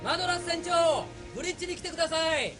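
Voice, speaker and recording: A man's voice: very high voice, quick pace, fairly bright tone. A man playing a skeptical bridge crewman, polite and matter-of-fact, in an audio drama. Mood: urgent, dutiful. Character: skeptical, quick-talking male bridge crewman. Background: faint music.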